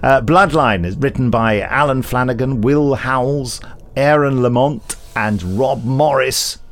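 A man's voice: medium pitch, measured pace. A man co-hosting a podcast, reading out news and chatting easily with his co-host. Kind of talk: casual chat and reading announcements. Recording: faint music behind.

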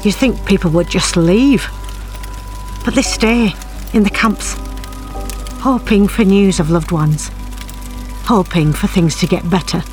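A woman's voice: mid-range voice, unhurried, pauses often, a little mellow, soft background music, lightly acted. An actress playing a middle-aged mother, anxious and grieving for her missing son, in an earnest audio drama style. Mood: weary, hopeful. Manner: emotional, earnest. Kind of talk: audio drama acting with narrated monologue.

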